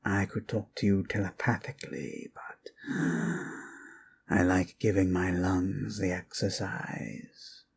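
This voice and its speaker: Male actor as ancient-style lich storyteller, creaky voice, very slow delivery, polite and rambling, fantasy ASMR roleplay. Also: deep voice, flat delivery, slight background noise, heavily acted. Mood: wry, musing. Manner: unhurried, musing, formally polite with long pauses.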